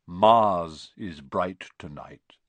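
A man voicing a solemn, cryptic centaur in a storybook, with a deep, sorrowful voice and a slow, portentous manner. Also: sing-song, fairly bright tone, no echo, heavily acted. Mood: brooding, solemn.

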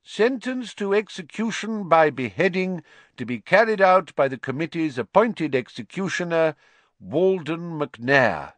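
A man's voice: on the high side, very animated, unhurried, fairly bright tone, no room sound, voice pushed up. A man voicing an officious committee official in an audiobook, formal and reedy. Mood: formal reading.

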